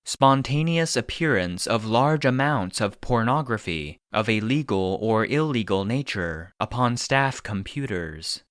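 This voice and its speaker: A man voicing a database narrator, reading secret records in a formal, clinical, deadpan manner. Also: medium pitch, unhurried, hardly any pauses, no echo, clean studio sound. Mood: impassive.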